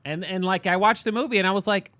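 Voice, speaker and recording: Male podcast co-host, smooth clear voice, dry sound, loose informal chat. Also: high voice, quick pace, bright, forceful tone, no room sound. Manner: informal, sweary, heavy use of "like". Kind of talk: casual chat.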